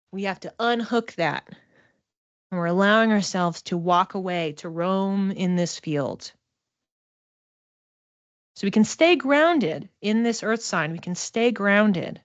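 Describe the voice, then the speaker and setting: A woman's voice: medium pitch, no room sound, dull audio. A woman giving an informal spiritual card reading to one listener in a reassuring, conversational manner. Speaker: psychic oracle card reader and mentor.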